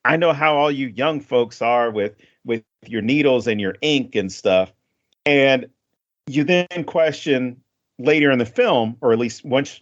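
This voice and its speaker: Rambling male podcast host, lo-fi. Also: medium pitch. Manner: rambling, laughs often. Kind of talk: casual film discussion.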